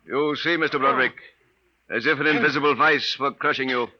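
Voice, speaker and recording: Actor as a coldly courteous Martian invader, old radio. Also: male voice, mid-range voice, fairly even intonation, very noisy, close to an everyday voice. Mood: coldly threatening. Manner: formal, measured, menacing politeness. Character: cold, courteous male Martian invader and interrogator. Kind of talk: 1950s radio drama acting. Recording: muffled vintage 1950s radio recording.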